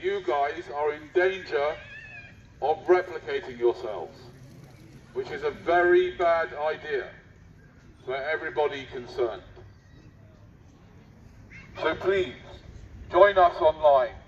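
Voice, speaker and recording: British man on a megaphone, deadpan, far off. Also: on the high side, slow pace, long pauses. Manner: deadpan, provocative, addresses passers-by directly. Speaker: street speaker with megaphone. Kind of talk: mock-serious public speech. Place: outdoor public park. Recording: dull megaphone outdoors, distant, faint noise.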